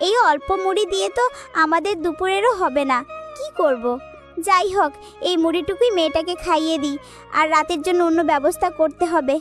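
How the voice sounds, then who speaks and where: Cartoon jackal mum, female actor: very high voice, gentle, worried, soothing, music behind. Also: a little fast. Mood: anxious. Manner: soothing, affectionate, plaintive. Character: poor, tender, worried jackal mother. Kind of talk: cartoon voice acting.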